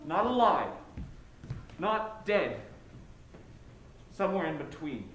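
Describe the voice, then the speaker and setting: The brooding ghost of a hard-boiled male detective acted by a young stage performer speaks in clipped, world-weary noir lines. The voice sits at a middling pitch. It echoes around the stage over faint background noise. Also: sing-song, takes it slowly, a bit bright. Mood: brooding, cryptic. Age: teenager. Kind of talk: high school stage play acting.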